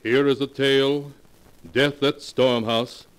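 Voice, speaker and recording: An actor playing a solemn, ominous host who narrates horror tales in 1940s radio style. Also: male voice, medium pitch, fairly even intonation, takes it slowly, clear, resonant tone, soft background music, close to an everyday voice. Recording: echoing, faintly hissy 1940s radio recording.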